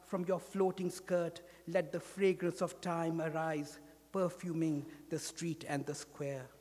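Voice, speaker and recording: A middle-aged man reading poetry at a podium, slow and lyrical. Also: on the high side, darkish tone. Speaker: poet reading at a literary memorial. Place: large ornate library hall. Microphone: podium mic on a stand. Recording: long hall reverb.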